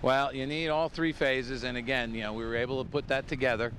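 Football coach talking after the game, says you know a lot, some outdoor noise. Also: male voice, mid-range voice, steady tone, brisk. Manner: plainspoken, repeats himself, many fillers. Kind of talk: postgame interview.

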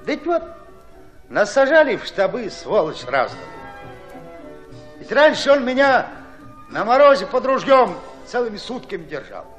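An actor playing a brash, hot-tempered Red Army commander in a radio play, folksy, blunt and commanding. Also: male voice, high voice, big pitch swings, normal speed, pauses often, a bit bright, soft background music. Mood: indignant, mocking. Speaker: actor in an audio drama. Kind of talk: Soviet-style radio play acting.

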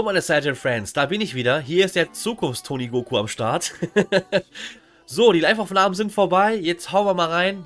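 A man's voice: a little high, a bit bright. A man hosting a vlog in casual, enthusiastic commentary, addressing viewers like friends. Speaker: YouTube host, flea market collector.

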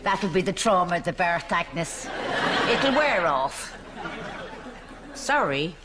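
A woman's voice: fairly low pitch, very animated, slow, crowd noise. A woman acting a dry, slightly dim older neighbour in a studio sitcom. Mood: dry, teasing. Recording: noisy studio with audience.

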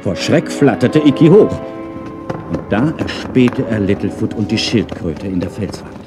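A man's voice: fairly low pitch, lively intonation, a little slow, music in the background. A middle-aged man narrating a children's story in a playful way, with wry, chatty asides.

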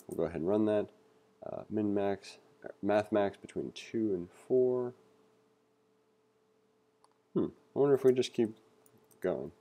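A man reading aloud through a coding book and thinking out loud as he teaches, with a relaxed manner and frequent fillers. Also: lowish voice. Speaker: coding tutor. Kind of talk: casual read-along lesson.

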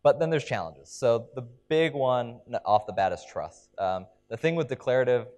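A man's voice: mid-range voice, animated, moderate pace, a little mellow. A young man giving a conference keynote on a large stage into a headset mic, explaining ideas with frequent ums and restarts. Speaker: keynote speaker.

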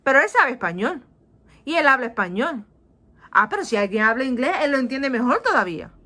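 A woman's voice: high voice, fairly quick. A woman from Puerto Rico in her thirties with a Puerto Rican Spanish accent, teaching a Bible lesson to children over a webcam, acting out voices and slipping English in. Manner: animated, acts out voices of people, asks "¿ok?" often. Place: small indoor room. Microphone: webcam on a video call.

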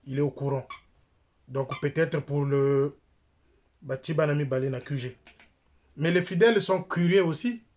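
A middle-aged man with a Congolese French accent, speaking straight to a close phone camera in a small room, insistent and repeating his points. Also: on the high side. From Republic of the Congo. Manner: insistent, repeats phrases, jabs with his finger. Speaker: church member and critic. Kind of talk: direct-to-camera denunciation.

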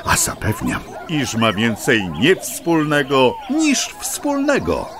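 A man's voice: medium pitch, wildly swooping pitch, slow pace, crowd noise. A man narrating a scripted children's story in a theatrical, whimsical, mock-lofty storyteller's manner.

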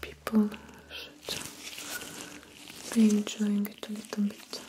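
A young woman speaks very softly and breathily, almost whispering, in an ASMR role play as a skin doctor. Her delivery is slow and even, with little movement in pitch. She is close to the mic, with clear background noise. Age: young adult. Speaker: ASMR performer playing a dermatologist. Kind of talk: soft-spoken ASMR medical role play. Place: small indoor room set up as an office.

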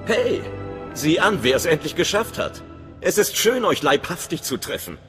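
A man's voice: a little high, wildly swooping pitch, moderate pace, echoey room. A man playing a nervous, friendly young undercover informant in a video game, chatty and informal with a touch of anxiety. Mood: eager, chatty. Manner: chatty, informal, slightly anxious. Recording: clear music behind the voice.

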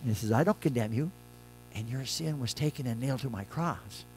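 An older man, a pastor, preaching a conversational sermon from a church pulpit, moving between loud emphatic lines and quiet soft ones. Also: medium pitch, brisk, faint music behind, rough recording.

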